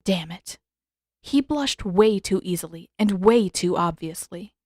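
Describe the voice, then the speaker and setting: A woman's voice: on the low side, expressive, measured pace, darkish tone, dry recording. Woman narrating a story, dry close sound. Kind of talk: scripted fan fiction audiobook narration.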